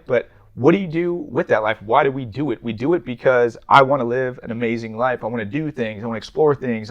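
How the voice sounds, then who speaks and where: A man speaks as a podcast host in a loose, conversational interview style. His pitch sits in the middle of the male range and his delivery is fast, with informal, slangy phrasing. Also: dull audio.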